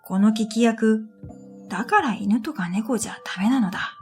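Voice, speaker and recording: A woman's voice: a little high, moderate pace, a little mellow. A woman narrating a scripted first-person story for a radio drama in an introspective, matter-of-fact manner. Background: music.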